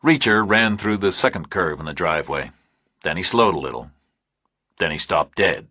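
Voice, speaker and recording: A man reading a scripted thriller audiobook with measured, clipped, dramatic phrasing. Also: sits low, lively intonation, pushed and bright, breathy edge, dry recording, clean studio sound. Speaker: audiobook narrator.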